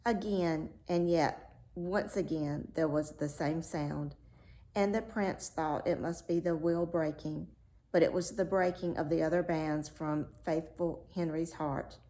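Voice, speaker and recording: Female read-aloud narrator: slow, expressive storybook delivery, lowish pitch. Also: low-quality recording.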